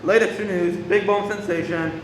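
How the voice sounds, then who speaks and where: A newspaper seller, acted by a man, chants a street cry in a radio play. The high voice rings with echo and long reverb over faint noise. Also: fairly even intonation, moderate pace, close to an everyday voice. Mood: hawking. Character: newspaper seller calling out headlines.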